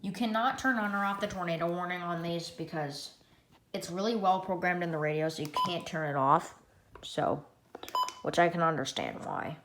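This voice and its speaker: A woman's voice: fairly low pitch, moderate pace. A woman giving a casual, rambling hands-on gadget demonstration, often reading menu items aloud one by one. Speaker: product reviewer. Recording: echoey room with loud noise and beeps.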